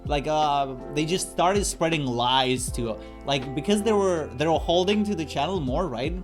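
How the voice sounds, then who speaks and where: A man's voice: on the high side, normal speed. A man guesting on a casual chat over a voice call, bantering and swearing as he tells stories. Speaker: guest streamer. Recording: voice call with lofi music behind. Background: lofi music.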